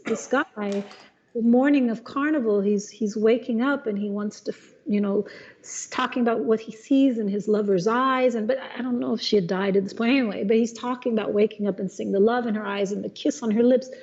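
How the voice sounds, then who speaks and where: A middle-aged woman who sings jazz talks about her craft in a relaxed, thoughtful way, often pausing and restarting her sentences. Her voice is mellow and warm rather than bright. The recording is a little dull and lo-fi. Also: on the high side. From Los Angeles, USA. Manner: thoughtful, many restarts and fillers. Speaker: jazz singer, guest. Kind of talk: casual conversation.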